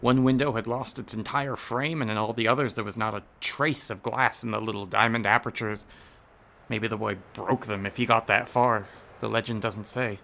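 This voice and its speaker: Radio horror: obsessive, argumentative scholar of eerie legends, creaky and intense. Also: male voice, lowish voice, steady tone, moderate pace, pushed and bright, a little hiss or hum. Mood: ominous, insistent. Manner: dramatic, argumentative, insistent. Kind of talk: radio horror drama acting.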